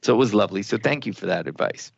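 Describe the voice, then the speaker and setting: A man with a heavily gravelly, creaky voice hosts a spiritual talk radio discussion. He speaks reflectively, often feeling for the next word. The sound is dry and somewhat dull, like lo-fi radio audio. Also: on the low side, expressive, fast talker, dry recording. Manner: reflective, hesitant, searching for words. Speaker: radio show host.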